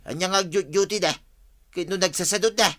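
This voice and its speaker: An actor playing a plain-spoken village farmer in an Ilocano-accented radio drama, plaintive and emphatic in manner. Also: male voice, high-pitched, a little fast, fairly bright tone, no echo. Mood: teasing. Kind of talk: Philippine radio drama acting.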